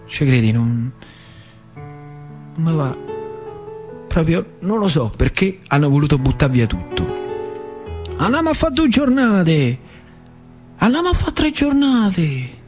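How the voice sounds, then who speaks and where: Give the voice of an older working-class factory worker from radio theatre, with a central Italian accent. His voice sits fairly high for a man. He tells his story in plain, repetitive, wistful phrases, with music behind him. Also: very animated, slow, long pauses. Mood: animated, emphatic. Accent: central Italian, Lazio. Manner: colloquial, repetitive, dramatic swings from emphatic to hushed. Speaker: stage actor.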